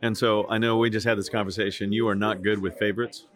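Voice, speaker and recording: A man hosting a podcast interview at a conference, relaxed and halting, with many restarts. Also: on the low side. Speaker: podcast host. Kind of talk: casual interview. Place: conference venue. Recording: crowd chatter behind, at a conference.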